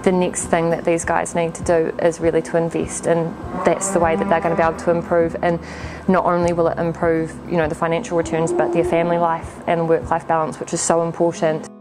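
A British woman in her thirties speaks in an interview testimonial. Her voice sits a little low, and music plays clearly behind her. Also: completely flat delivery, fairly quick, few pauses. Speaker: agricultural bank relationship manager. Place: indoor milking parlour. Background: background music.

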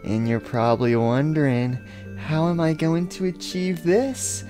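A squeaky little doll girl bent on revenge, put on by a man. She creeps along in hushed menace and breaks into shrieking, unhinged rage. Soft music hums underneath. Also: measured pace, lightly acted. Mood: hushed menacing. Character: tiny vengeful female doll, unhinged.

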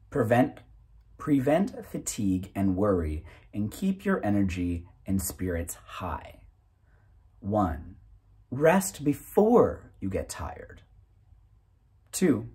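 A man in his thirties reads a list of principles aloud with a very husky, breathy voice. His delivery is slow and deliberate, pausing between items, while his pitch moves around in a lively way. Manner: deliberate, item-by-item delivery with pauses. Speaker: reader. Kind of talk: reading a self-help booklet aloud.

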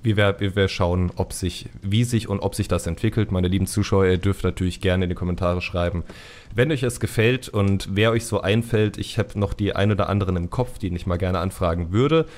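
A man's voice: sits low, a little fast, darkish tone. A man hosting a casual podcast interview. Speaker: podcast host.

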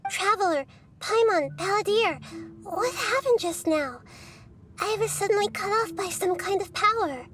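A woman voicing a gentle, wise, childlike little goddess in a video game, composed, thoughtful and earnest. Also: very high voice, lively intonation, a little slow. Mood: concerned. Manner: thoughtful, formal, reassuring. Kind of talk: video game character acting. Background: background music.

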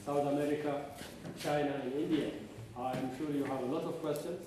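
A man chairing a conference panel, slow and halting with many fillers. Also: on the high side, steady tone, background noise. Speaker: session chair. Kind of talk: moderating a panel. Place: large conference hall. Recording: echoey hall from far off.

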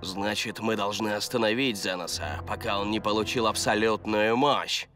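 An actor playing a resolute, confident cartoon hero leader with a dramatic, declamatory delivery. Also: male voice, on the low side, steady tone, normal speed. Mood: determined. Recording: loud music behind.

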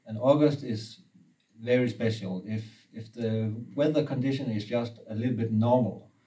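A fishing guide in his forties whose first language is Danish, explaining steadily with pauses, recorded indoors from a few feet away. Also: mid-range voice, warm tone, distant mic, rough recording. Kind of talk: casual interview. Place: indoor room with brick wall and river map.

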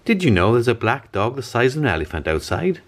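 Man as fretful storybook parent, exclaiming, pitched up, swooping. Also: sing-song, fairly quick. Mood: panicked. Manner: exclaiming, panicky. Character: alarmed, fretful mother and father of storybook family. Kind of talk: storybook character reading.

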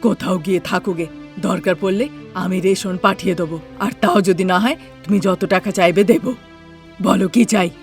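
A woman's voice: medium pitch, steady tone, fairly quick, darkish tone, soft background music, pitched below the performer's own voice, close to an everyday voice. A woman voicing a haughty, bossy, rich older mother-in-law in a cartoon story, scolding imperiously. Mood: scornful. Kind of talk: Bengali cartoon story acting.

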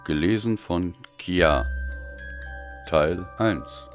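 Very deep, slow, brooding male narrator. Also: over music. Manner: brooding, deliberate, theatrical. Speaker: audiobook narrator. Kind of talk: dramatic scripted narration.

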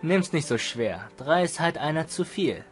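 A man's voice: fairly high pitch, lively intonation, moderate pace, lightly acted. Man playing a smug, grumpy teenage boy. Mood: mocking. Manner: curt, teasing, dismissive. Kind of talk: fan audio drama dialogue in German. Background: music.

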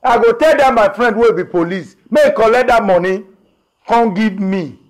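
A man's voice: high-pitched, moderate pace, natural voice. A man with a Nigerian accent playing an angry husband who scolds his wife in a comedy skit. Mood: scolding. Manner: scolding, shouting orders.

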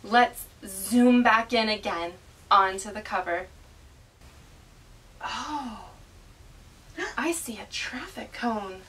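A woman presenting an educational read-aloud for young children in an animated, exaggerated, child-directed way. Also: mid-range voice, slow, long pauses. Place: small indoor classroom inside a mobile learning vehicle. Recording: distant mic with faint room noise.

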